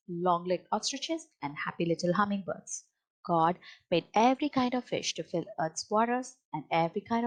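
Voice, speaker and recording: Lady with a slightly low voice reading a kids bible story out loud. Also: low-quality recording. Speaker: storybook reader. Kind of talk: read-aloud children's Bible story.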